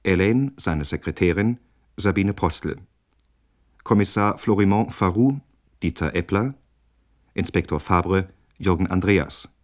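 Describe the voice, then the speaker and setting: Male continuity announcer, very low voice, formal measured delivery of scripted credits. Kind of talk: scripted radio drama credits.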